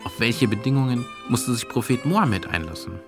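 A man's voice: medium pitch, expressive, fairly quick, faint music behind. A man delivering scripted, rhetorical narration in a radio production.

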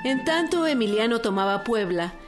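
A woman's voice: fairly high pitch, expressive, moderate pace, soft background music. A woman reading scripted historical narration for a radio documentary drama.

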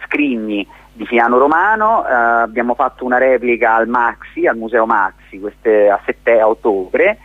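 A man's voice: medium pitch, dry recording. Man on a thin phone-like line. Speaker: theatre director interviewed as guest. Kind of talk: conversational radio interview.

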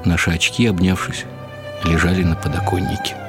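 An older man giving a reflective, expressive and unhurried dramatic reading of literary prose for radio. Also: deep voice. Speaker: narrator. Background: music.

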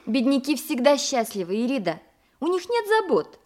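An actress playing a vain, pampered, coquettish courtesan of ancient Greece in a theatrical audio drama, with an affected, gushing manner. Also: female voice, high voice, big pitch swings, normal speed, darkish tone. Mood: gushing, amused.

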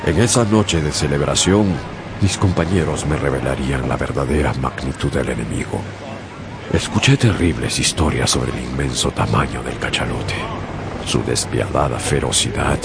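A man's voice: extremely low pitch, gravelly. A man narrating a radio drama in the first person, grave and dramatic. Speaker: narrator as Ishmael. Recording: radio drama with loud music and crowd.

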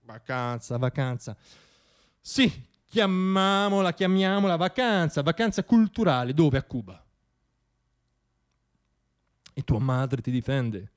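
A man performing a comic theatrical monologue for radio, sardonic and self-mocking, with emphatic pauses and repeated words for effect. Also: fairly high pitch, sing-song. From Italy. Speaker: monologist performing own piece.

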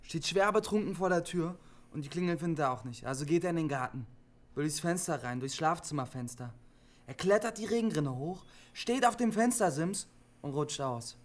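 A man's voice: on the high side, animated, moderate pace, natural voice. An actor playing a defiant, lonely young man telling invented stories in short, matter-of-fact statements in a radio drama. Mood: matter-of-fact, insistent. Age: young adult. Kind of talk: naturalistic German radio drama acting.

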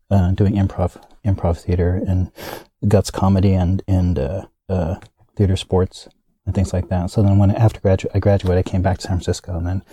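A man's voice: sits low, warm tone, slightly rough, no room sound. A man being interviewed, talking casually and rambling, with many fillers and restarts. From United States. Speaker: guest.

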